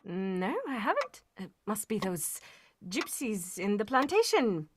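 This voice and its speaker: A woman's voice: medium pitch, sing-song, unhurried, a little hiss or hum. A woman playing a proper, anxious young lady recounting a frightening story in a live old-time radio mystery, with theatrical delivery. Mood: dismissive. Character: Helen Stoner, proper anxious young Englishwoman telling her story.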